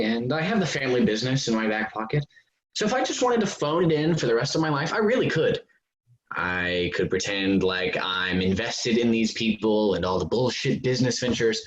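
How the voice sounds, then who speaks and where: Actor as a lively, smug rich young man. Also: mid-range voice, natural voice, low-quality recording. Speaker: actor in a staged play reading. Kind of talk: virtual staged reading of a comedy play.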